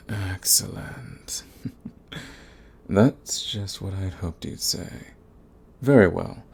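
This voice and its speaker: Man acting a deep, slow, menacing vampire lord. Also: vocal fry, a little mellow, breathy edge, heavily acted. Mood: commanding, seductive. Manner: menacing, silky, taunting, formal and deliberate. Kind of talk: ASMR roleplay audio drama.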